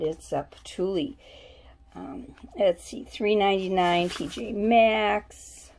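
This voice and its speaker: Haul vlogger, woman, low-pitched and gravelly, unhurried label-reading chatter over faint hiss. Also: darkish tone. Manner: chatty, musing aloud while reading labels. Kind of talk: casual shopping haul show-and-tell. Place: at home, indoors.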